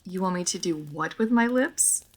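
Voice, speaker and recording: A woman playing a refined, reserved vampire noblewoman in a roleplay audio drama, speaking formally with measured diction, hesitant pauses and dry playfulness. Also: on the low side, animated. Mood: flustered, dryly playful. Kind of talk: roleplay audio drama, intimate monologue to listener.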